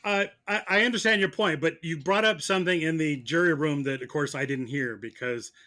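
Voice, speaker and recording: A man's voice: on the high side, a little fast, sharp tone. A man playing prosecutor on a comedy podcast, debating films in a casual, argumentative way. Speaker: mock-trial prosecutor.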